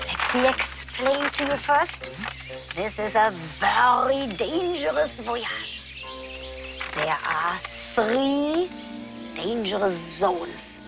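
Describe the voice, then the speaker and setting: An eccentric, blunt mystic guide in a comic TV fantasy. Her high voice swoops dramatically and draws words out slowly, with music playing behind her. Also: expressive, gravelly, exaggerated voice. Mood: ominous. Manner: dramatic, drawn-out, scolding. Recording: music behind, VHS tape source.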